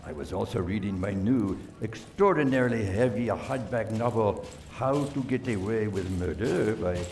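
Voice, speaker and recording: A pompous, shifty gentleman suspect, acted by a man in an amateur radio mystery. His voice is lowish and gravelly, and it swoops as he savors every drawn-out word. There is faint noise in the recording. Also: exaggerated voice. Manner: hesitant, savoring, drawn-out words. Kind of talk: amateur radio play acting.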